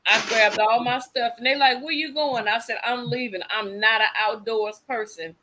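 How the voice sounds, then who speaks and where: A woman's voice: on the high side, fairly even intonation, moderate pace, background noise. A woman hosting a livestream in casual chat, rambling and acting out what people said. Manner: chatty, rambling, acts out quotes. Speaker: livestream host. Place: desert home.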